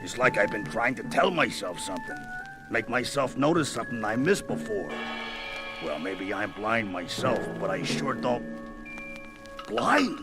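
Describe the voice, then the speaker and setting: An actor playing a gruff, wisecracking brawler of a superhero on a 1970s record, telling his story in sardonic tough-guy slang. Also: on the low side, big pitch swings, a little slow, over music. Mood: musing, determined.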